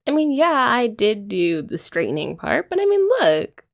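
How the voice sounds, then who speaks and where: A young woman doing a soft, teasing, affectionate ASMR girlfriend roleplay, often laughing, close to the mic. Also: on the high side, huge pitch swings, moderate pace, creaky.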